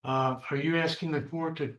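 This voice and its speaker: A man's voice: fairly high pitch, fairly even intonation, normal speed, fairly bright tone. A man working as a defense attorney, questioning someone in court with conversational, tentative phrasing. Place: indoor courtroom. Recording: echoey courtroom sound.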